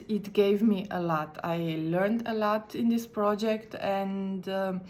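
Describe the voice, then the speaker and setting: A woman from Slovenia, a native Slovene speaker with a Slovene accent, talking in a recorded video message as an interpreter, careful and halting with many fillers. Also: mid-range voice.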